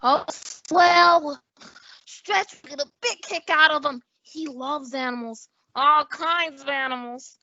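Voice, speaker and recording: Actress as impish high school boy, very high voice, radio sitcom, close dull recording. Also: slow, pushed and bright, no echo, full character voice. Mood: eager. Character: mischievous, eager teenage schoolboy. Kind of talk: old-time radio comedy acting. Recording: dull, muffled audio.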